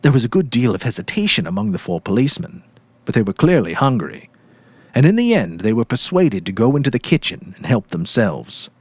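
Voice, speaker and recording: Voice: mid-range voice, big pitch swings, fairly quick, darkish tone, no echo. A narrator giving a dramatic audiobook reading of a story.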